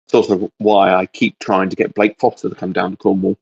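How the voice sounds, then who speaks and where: A deep-voiced man with a distinctly husky tone co-hosts a casual hobby chat. His phrasing is halting and hedged, and the sound is a little dull. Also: level tone, darkish tone. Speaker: co-host of a hobby discussion show.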